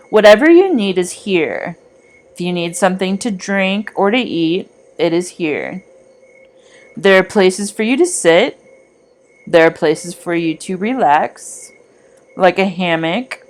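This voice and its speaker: A woman reading a scripted guided meditation in a soothing, deliberate manner with long pauses. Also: on the low side.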